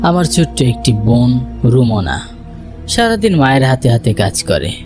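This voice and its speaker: A man playing a homesick, worried village son, narrating a dramatized first-person story in an expressive, reflective way. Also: mid-range voice, moderate pace, soft background music, natural voice. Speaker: narrator and main character.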